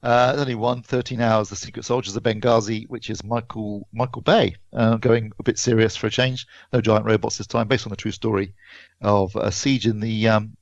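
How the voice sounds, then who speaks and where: A man co-hosting a podcast, chatting casually and haltingly about films, thinking aloud as he goes. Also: lowish voice, flat delivery, creaky. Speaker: podcast co-host and film reviewer. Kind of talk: casual film release chat. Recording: dull, roomy lo-fi podcast audio.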